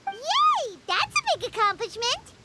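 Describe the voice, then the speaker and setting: A woman voicing a small, excitable, naive childlike fairy companion in a video game, chirpy and exclaiming. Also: measured pace, slight background noise, exaggerated voice. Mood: delighted. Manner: squeaky, exclaiming, refers to self in third person.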